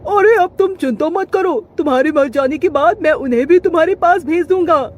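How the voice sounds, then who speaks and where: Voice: very high-pitched, moderate pace, slight background noise. A performer voicing a boastful, hungry male kite bird who taunts and threatens in a children's cartoon. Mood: gloating, menacing. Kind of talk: Hindi children's cartoon voice acting.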